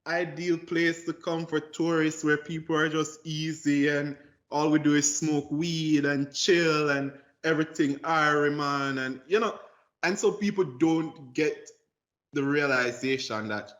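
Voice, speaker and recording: A man's voice: a little high, unhurried, fairly bright tone, muffled recording. A young adult Jamaican man with a Jamaican accent, a lawyer talking about his path in an interview, earnest and a little cheeky. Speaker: lawyer guest. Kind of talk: casual interview talk.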